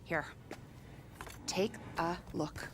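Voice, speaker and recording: An actress playing a weary, dedicated doctor and researcher in a video game, earnest and wry. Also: female voice, fairly low pitch, very slow, noisy background. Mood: cautiously hopeful. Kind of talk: video game cutscene acting.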